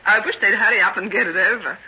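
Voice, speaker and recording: An actress playing a devoted, gently scolding young nurse in an old-time radio drama, with earnest, proper diction. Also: female voice, medium pitch, quick pace, slightly rough, exaggerated voice. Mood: brisk, friendly. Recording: dull, faintly noisy vintage radio recording.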